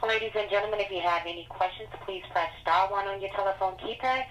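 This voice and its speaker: A woman's voice: medium pitch, steady tone, fast talker, fairly bright tone. A woman acting as conference call operator, making formal scripted announcements over a telephone line. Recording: distant, dull telephone line.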